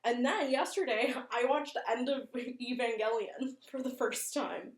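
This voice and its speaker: A woman's voice: fairly high pitch, unhurried. A woman giving casual video commentary as a host, chatty, rambling and self-deprecating. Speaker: host of a horror video channel. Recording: roomy, echoing room sound.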